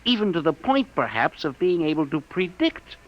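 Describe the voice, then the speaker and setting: An actor playing an eager, anxious, deferential junior investigator with a hesitant, earnest manner in a 1950s radio drama. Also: male voice, a little high, sing-song, moderate pace, a little hiss or hum. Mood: earnest, excited. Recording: muffled old radio recording.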